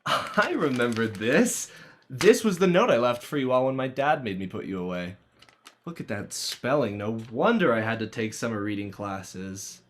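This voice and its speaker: A man's voice: mid-range voice, very animated, moderate pace, slight background noise, close to an everyday voice. A man playing a lonely, self-deprecating teenage boy in a stage play reading, wry and hesitant, as if talking to himself. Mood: wry, melancholy.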